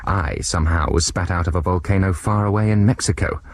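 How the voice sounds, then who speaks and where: A man's voice: low voice, brisk, no echo. A man playing a middle-aged German professor who narrates his past adventure in a radio drama, wry and reflective. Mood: wistful. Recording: radio drama with loud music underneath.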